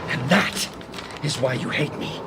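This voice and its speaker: An actor playing a terrified, obsessed man besieged by a living wind in a radio drama, halting and overwrought. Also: mid-range voice, close to an everyday voice. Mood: feverish defiance. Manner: overwrought, halting, breaking into manic laughter and cries. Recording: noisy old radio drama broadcast.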